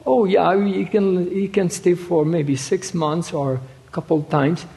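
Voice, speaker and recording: A man's voice: sits high, steady tone, unhurried, creaky, warm tone. A preacher voicing a hesitant, fussy mother who hedges and placates. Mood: placating. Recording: long hall reverb.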